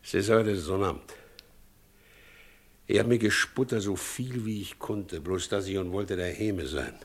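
An actor playing an aggrieved, weary working-class husband in a drama, speaking emphatic colloquial dialect. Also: male voice, low-pitched, measured pace. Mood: weary, hurt. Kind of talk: stage-style radio drama dialogue.

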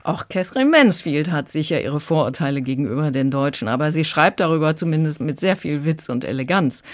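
A woman's voice: low voice, a little mellow. A woman working as an audiobook critic, giving prepared commentary in a radio review conversation.

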